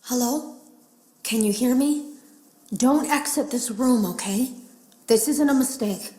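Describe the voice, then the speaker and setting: A woman's voice: fairly high pitch, unhurried, natural voice. A woman voicing a worried secretive developer. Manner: hushed, worried confiding. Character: anxious female game developer, secretive. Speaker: game developer leaving hidden logs. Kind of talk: scripted game audio logs. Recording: roomy echo.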